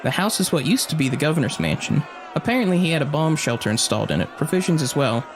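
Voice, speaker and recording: An actor playing a cocky, flirtatious acrobat and wisecracking survivor, glib and animated, in an audio drama. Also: male voice, on the high side, quick pace, over music. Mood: sardonic.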